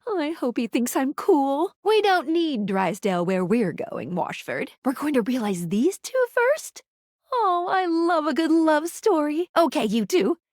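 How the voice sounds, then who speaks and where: An eager, giddy young-hearted companion for a video game. The voice is high and very animated, gushing over romance in a dry studio recording. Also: female voice, unhurried, dry recording. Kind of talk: video game character acting.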